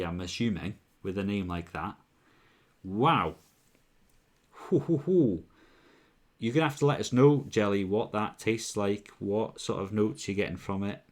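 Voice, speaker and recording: A man with a deep, low voice and a Welsh accent, blurred by years in Scotland and northern England, hosts a relaxed live stream from home. He talks in a chatty, upbeat way, full of ums and false starts. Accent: Welsh, mixed with Scottish and northern English. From Wales. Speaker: live-stream whisky tasting host. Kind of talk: casual live-stream chat reading out viewer comments.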